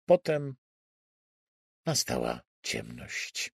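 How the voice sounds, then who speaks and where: A man narrating a crime thriller audiobook in a deliberate, suspenseful way with dramatic pauses. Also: a bass voice, wildly swooping pitch, creaky, husky, dry recording, studio quality.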